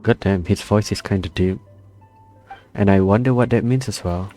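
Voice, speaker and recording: A man's voice: fairly low pitch, moderate pace, warm tone, soft background music. A man giving casual let's-play commentary, muttering reactions and swearing mildly as he plays. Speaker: gamer playing a story quest.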